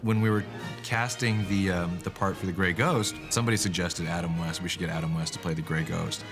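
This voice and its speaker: A man with a deep voice speaks casually as a producer in a documentary. His recollections run over a clearly heard music bed. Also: steady tone, brisk. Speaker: animated series producer.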